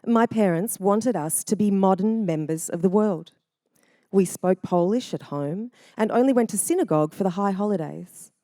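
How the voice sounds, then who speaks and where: A woman narrating a family memoir in a measured, reflective first-person reading. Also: medium pitch, mellow, no echo, clean studio sound.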